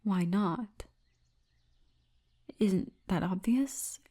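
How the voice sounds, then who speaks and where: A woman voicing a big orc guardswoman, gentle, wry and commanding, in a hushed, breathy ASMR roleplay murmur. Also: mid-range voice, extremely slow. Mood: wistful, guarded.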